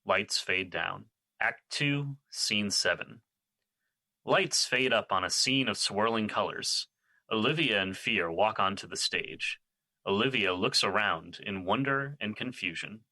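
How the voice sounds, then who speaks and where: A man's voice: lowish voice, animated, a little slow, sharp tone, no room sound, low-quality recording. A man reading stage directions as scripted narration for an audio drama.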